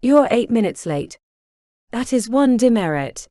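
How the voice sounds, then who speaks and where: An officious, stiff woman receptionist, as performed for an audiobook, speaks in a higher, mellow voice. Her phrasing is formal and clipped, heavy with disapproval, yet it moves up and down noticeably. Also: very animated, a little slow, no echo, studio quality. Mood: stern, officious. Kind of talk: audiobook character dialogue.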